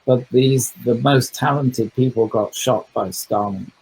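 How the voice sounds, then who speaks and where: A man's voice: medium pitch, a little slow, a little mellow, husky, poor audio. A man speaking as a historian guest in a conversational book talk, thoughtful and digressive, with frequent hesitations.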